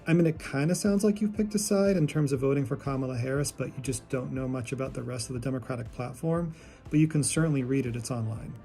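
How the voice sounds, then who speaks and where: A man's voice: medium pitch, quick pace, creaky, warm tone, soft background music. A man giving casual political reaction commentary in a condescending way.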